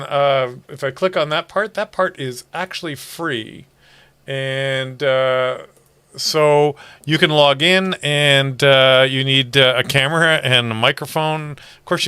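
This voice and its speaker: A man's voice: medium pitch, pushed and bright, clean tone, dry recording. A man speaking casually and unscripted as a host, explaining things informally while walking listeners through an event update. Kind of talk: casual unscripted promotional talk with screen walkthrough.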